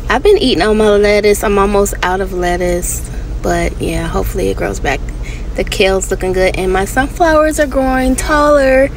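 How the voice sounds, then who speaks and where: A woman's voice: mid-range voice, moderate pace, noisy background. A woman narrating a casual garden tour as channel host, speaking informally and gushing over small details.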